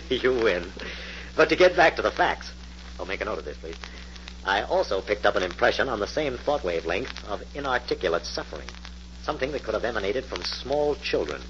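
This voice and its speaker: A confident American scientist-detective in a vintage radio mystery. His voice is fairly low, precise and authoritative. The recording is muffled, with faint noise. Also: very animated, fairly quick, a bit bright. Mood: musing, assured. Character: confident, methodical male scientist-sleuth, brisk and commanding. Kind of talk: 1940s radio acting. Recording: muffled, noisy old-time radio recording.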